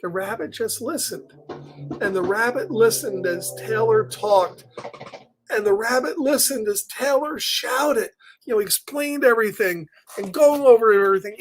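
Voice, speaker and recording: Man reading a children's story aloud, conversational and gentle. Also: very high voice, a little hiss or hum, rough recording. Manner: gentle, conversational, often asks questions and drops into whispers. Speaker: story time reader and host. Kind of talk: live read-aloud of a children's picture book with chatty asides.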